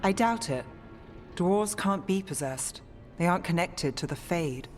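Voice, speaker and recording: An actress playing a skeptical, level-headed investigator companion in a video game, analytical and cautious. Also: female voice, fairly low pitch, animated, unhurried, darkish tone. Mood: concerned. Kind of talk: video game cutscene acting. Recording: game dialogue over faint music.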